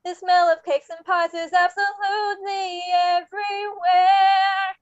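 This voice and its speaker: A woman playing an excitable, wonderstruck male skeleton in a table read of a musical, with theatrical, rhyming exclamations. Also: very high voice, takes it slowly, no echo, dull audio, soft background music. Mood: joyful.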